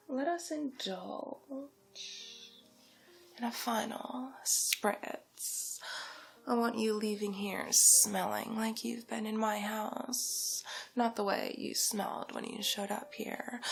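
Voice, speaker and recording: A vain, wealthy villainess, acted by a woman for an ASMR roleplay, whispers with lofty condescension. Her voice is breathy and fairly high, with heavy creak, and she draws out her words slowly and theatrically. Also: full character voice. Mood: smug, patronizing. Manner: slow, drawn-out, theatrical, patronizing. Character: haughty, vain, condescending wealthy villainess, fur-loving fashion snob.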